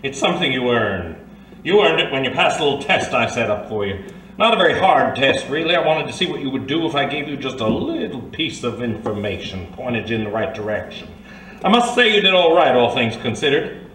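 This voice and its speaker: A man's voice: medium pitch, sing-song, a little fast, fairly bright tone. A man performs a coolly controlling boss in a radio drama, speaking in a mid-range voice. The phrasing is genteel and pompous, full of condescension. The room is echoey, with a faint noise behind. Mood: condescending. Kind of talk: old-time radio drama acting. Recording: roomy, slightly distant, faint background noise.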